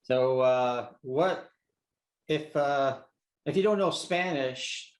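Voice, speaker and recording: A man hosting a casual interview, asking questions in a halting way with restarts and "like" fillers. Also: medium pitch. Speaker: interview host. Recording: roomy echo.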